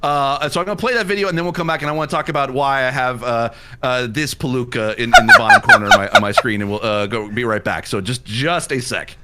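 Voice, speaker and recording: A man with a deep, smooth voice, a guest on a livestream, talking in an animated way full of fillers. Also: quick pace, hardly any pauses. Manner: animated, filler-heavy talk. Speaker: guest streamer. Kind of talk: casual chat.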